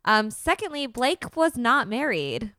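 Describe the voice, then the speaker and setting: A woman co-hosting a podcast in casual chat, wry and teasing with quick jokes. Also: fairly high pitch, big pitch swings, sharp tone, no room sound. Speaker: podcast co-host.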